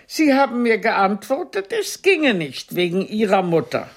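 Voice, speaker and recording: Proud, scornful actress, swooping delivery. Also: female voice, mid-range voice, sing-song, a little slow. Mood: cutting, taunting. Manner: theatrical, cutting, contradicting. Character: proud, scornful stage actress quarrelling with her former lover. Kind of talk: radio play dialogue.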